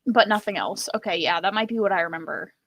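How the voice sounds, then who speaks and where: A woman's voice: mid-range voice, fast talker, fairly bright tone, no room sound. A woman co-hosting a casual book discussion in a conversational, dryly wry way. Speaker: book podcast co-host.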